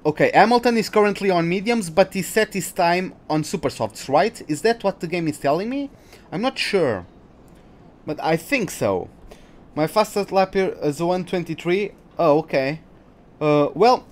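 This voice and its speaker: A young man with a Portuguese accent, English not his first language, rambling through live racing-game commentary and greeting viewers. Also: sits high. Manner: rambling, hesitant, frequent restarts and fillers. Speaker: gaming streamer.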